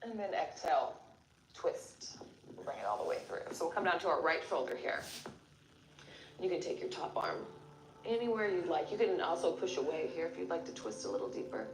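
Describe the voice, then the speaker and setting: A woman yoga instructor leading a class, giving calm step-by-step cues with pauses between them. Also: deep voice, a little hiss or hum. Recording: roomy echo, music behind. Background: music.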